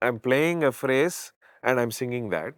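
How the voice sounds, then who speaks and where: A man's voice: normal speed, clear, resonant tone, no room sound, clean studio sound. A man, a veena player and singer talking easygoingly in an interview. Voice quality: deep voice. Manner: reflective, easygoing, laughs often, mixes Kannada into English. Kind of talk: casual interview chat.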